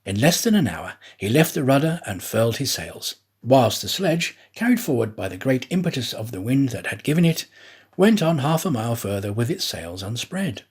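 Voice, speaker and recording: A man reading a classic adventure story aloud as an audiobook narrator, with a deliberate, dramatic storytelling delivery. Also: mid-range voice, big pitch swings, breathy edge. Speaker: audiobook reader, actor.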